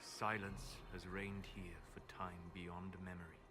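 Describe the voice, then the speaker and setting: Solemn elf sage, fantasy game, lowish voice, calm formal delivery over prominent music. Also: male voice, measured pace. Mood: solemn, reverent. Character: solemn, scholarly male elf, reverent of ancient things. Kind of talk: dramatic fantasy game acting.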